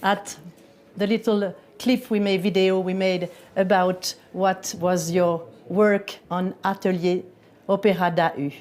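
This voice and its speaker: A woman conducts a festival interview, prompting her guest with short questions. Her voice sits at a middle pitch. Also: flat delivery, a little slow, a little mellow.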